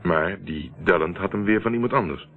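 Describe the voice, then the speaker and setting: A shrewd investigator in a radio drama, with a very low voice and dry, probing delivery. He teases and presses in a controlled way. The sound is narrow and muffled like an old radio tape. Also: fairly quick. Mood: probing, firm. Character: shrewd, teasing male investigator, calmly pressing. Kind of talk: radio play acting.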